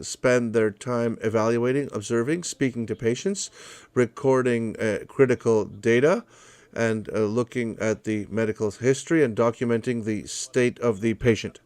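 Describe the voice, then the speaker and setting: A man teaching at a college, walking through a software demo step by step in an online presentation over a video call. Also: medium pitch, smooth, no room sound. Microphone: video call microphone.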